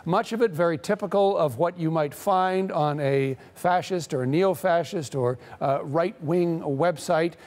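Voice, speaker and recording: A middle-aged correspondent talks through a live news segment in a TV studio. His voice sits a touch higher than most men's, and he speaks in broken-up phrases with frequent "uh" pauses. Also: darkish tone. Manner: halting, many "uh" pauses.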